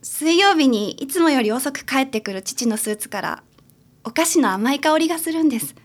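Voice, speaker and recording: A woman plays a troubled daughter confiding in a detective in a radio drama. Her voice is high and sincere. She speaks politely, like a client explaining a family worry. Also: expressive, moderate pace. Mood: earnest confiding.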